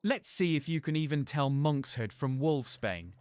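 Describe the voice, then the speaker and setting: A man's voice: a little high, lively intonation, normal speed, a little mellow, clear, resonant tone, lightly acted. A man playing an arrogant, jealous schoolboy rival in a fan audio drama, with a haughty, taunting delivery. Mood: sneering.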